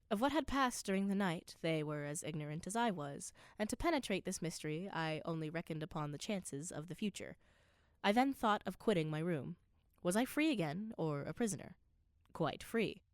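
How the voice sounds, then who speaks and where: A woman's voice: on the low side, no echo, studio quality, lightly acted. A woman playing an earnest scholar who narrates an undersea voyage in a radio play, with precise, literary, dramatic delivery.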